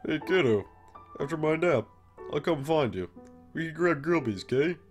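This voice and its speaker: A man's voice: a little high, lively intonation, moderate pace, muffled recording, music in the background, natural voice. A man voicing a laid-back, weary skeleton brother in a comic dub, with a casual, understated drawl. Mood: relaxed. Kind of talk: animated comic dub acting.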